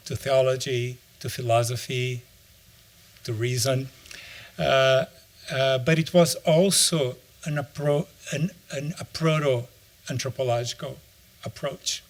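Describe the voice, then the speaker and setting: Reflective middle-aged man. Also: medium pitch. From Brazil. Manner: reflective, searching for words. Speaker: author and anthropologist. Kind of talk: interview answer.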